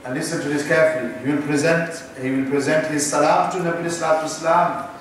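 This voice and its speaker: Imam lecturing in an echoey room. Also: male voice, a little high, steady tone, very fast, recorded from a distance, slight background noise. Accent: British English. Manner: teasing the audience with asides. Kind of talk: islamic lecture.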